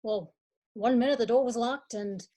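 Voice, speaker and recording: A woman's voice: fairly high pitch, warm tone, close to an everyday voice. Woman playing a flustered amateur detective. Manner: halting, flustered. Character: muddled, hesitant amateur male detective.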